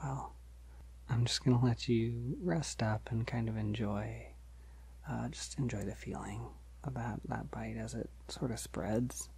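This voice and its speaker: A man playing a polite, gentle, shy vampire in an ASMR roleplay, speaking softly and hesitantly to reassure the listener, often near a whisper. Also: medium pitch, vocal fry, breathy edge, heavily acted. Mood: friendly, encouraging. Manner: hesitant, reassuring, halting with soft chuckles.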